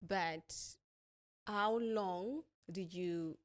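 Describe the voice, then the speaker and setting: Low-pitched woman hosting a podcast. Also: extremely slow. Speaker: podcast host and interviewer. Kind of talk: interview with a scripted-sounding introduction.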